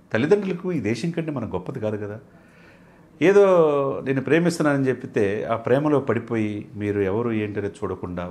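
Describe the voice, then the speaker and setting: An impassioned male commentator. His mid-pitched voice swings widely as he talks at a rapid clip. He is emphatic and rhetorical, piling up repeated phrases like a list. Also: a little mellow. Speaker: analyst and studio guest.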